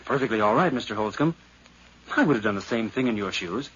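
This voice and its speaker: Guilty nephew, theatrical radio drama, polite but unstable, very animated pitch, faint hiss on old recording. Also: male voice, fast. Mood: polite, controlled. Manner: theatrical, courteous turning frantic. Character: guilt-ridden nephew murderer, polite host cracking into panic. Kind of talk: 1950s radio drama acting. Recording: dull, hissy 1950s radio recording.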